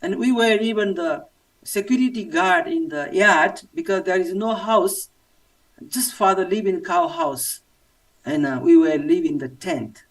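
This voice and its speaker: A man from Nepal with a Nepali accent, giving a personal testimony in halting English with many fillers. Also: high-pitched, expressive, far from the mic. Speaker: church member giving testimony.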